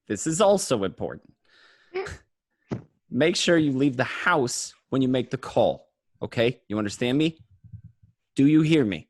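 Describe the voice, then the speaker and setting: A man's voice: mid-range voice, animated, a little slow, long pauses, dry recording, studio quality. A man acting as a stressed, bossy male criminal in an amateur script read, insistent and repeating himself. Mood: insistent, nagging. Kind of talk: amateur online table read of a film script.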